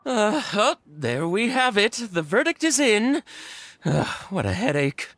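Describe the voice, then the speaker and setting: A man's voice: high-pitched, very animated, measured pace, a bit bright, no room sound, exaggerated voice. A man voicing a flustered, earnest toy shop craftsman in a video game, hesitant and fretful. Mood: dejected. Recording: clear music behind.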